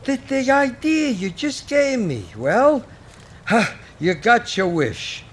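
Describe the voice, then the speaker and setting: A man playing a gentle, fussy guardian angel in a radio play, speaking in a quaint, genteel, eager way. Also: very high voice, big pitch swings, slow pace, slight background noise, exaggerated voice. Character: gentle, fussy, old-fashioned male angel. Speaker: radio actor. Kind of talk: 1940s radio acting.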